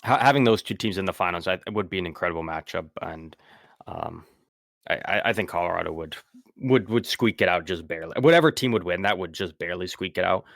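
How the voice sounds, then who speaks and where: A man co-hosting a casual sports podcast, talking haltingly with lots of ums while fighting a sore throat. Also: low voice, lively intonation, vocal fry, fairly bright tone, no room sound, clean studio sound. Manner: halting, many ums and restarts. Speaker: podcast co-host.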